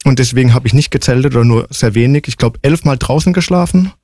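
A man in his forties speaks as a guest in a casual interview. His voice sits at an average pitch and his answers are matter-of-fact and to the point. The sound is dry, without any room echo. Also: fast talker, warm tone. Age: 40s. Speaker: interview guest, long-distance hiker.

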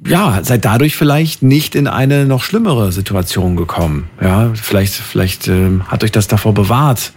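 A man hosting a call-in radio show, chatty and lively, quick to move on to the next caller. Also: on the low side, slight background noise. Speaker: radio host.

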